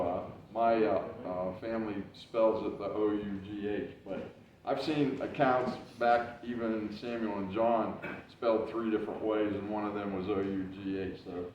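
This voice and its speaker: A man giving a casual library talk as a historical reenactor, with a loud voice and a loose, rambling way of telling, full of "uh"s and restarts. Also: lowish voice, fairly even intonation, moderate pace, slight background noise. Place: library meeting room. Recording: very echoey, distant, dull room recording.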